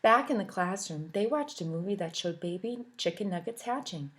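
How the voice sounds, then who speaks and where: A woman teacher reading a picture book aloud to children, expressive and animated, asking her listeners questions along the way. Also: medium pitch, normal speed, slight background noise.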